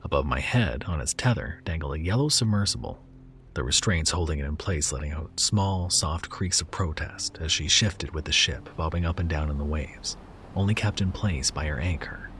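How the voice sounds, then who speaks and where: A man reading a first-person horror story in a slow, hushed delivery that sometimes drops to a whisper. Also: extremely low pitch, a little hiss or hum.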